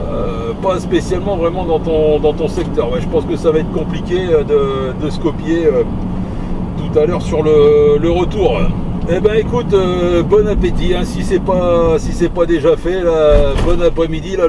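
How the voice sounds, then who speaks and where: Clear road and engine noise surrounds a fairly high-voiced man who sounds set back from the mic. He chats casually on the radio from a moving vehicle, halting often as he searches for his words. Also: far from the mic. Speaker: amateur radio operator chatting on CB while driving. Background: loud engine and road noise.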